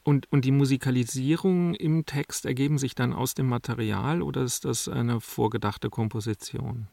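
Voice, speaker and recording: A man's voice: medium pitch, fairly even intonation, normal speed, vocal fry, mellow, no echo. A man asking questions as a radio interviewer.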